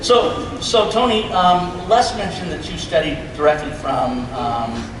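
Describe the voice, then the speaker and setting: Man, panel host, slightly high voice, informal interviewing, echoey convention hall, distant noisy recording. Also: unhurried. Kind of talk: live on-stage interview. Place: large convention hall stage.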